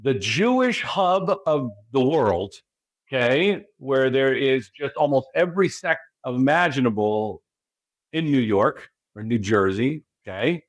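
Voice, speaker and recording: A man's voice: medium pitch, expressive, unhurried, no echo. A man from California with an American accent, a spiritual guide being interviewed, who talks emphatically and keeps asking rhetorical questions. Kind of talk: interview talk.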